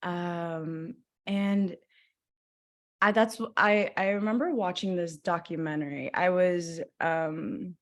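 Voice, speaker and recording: A woman's voice: fairly low pitch, fairly even intonation, slow pace. A woman in her twenties from Los Angeles, speaking as an interview guest in a loose, rambling way with many fillers and restarts. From Los Angeles, USA. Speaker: guest actor. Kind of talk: casual interview talk.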